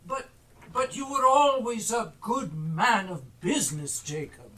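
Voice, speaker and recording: A man's voice: extremely high pitch, big pitch swings, unhurried, a bit bright, heavily acted. A man on stage playing a skeptical, blustering old miser who is easily frightened, in theatrical style. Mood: pleading. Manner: blustering, stammering when frightened. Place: theatre stage, recorded live. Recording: distant live stage play recording.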